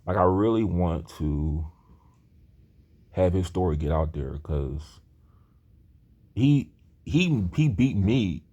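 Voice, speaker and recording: A man's voice: low voice, expressive, slow pace, pauses often, dark tone, dull audio. A man in his thirties talking in a rambling personal monologue, earnest and hesitant, with many restarts. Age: 30s. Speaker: aspiring screenwriter talking to camera.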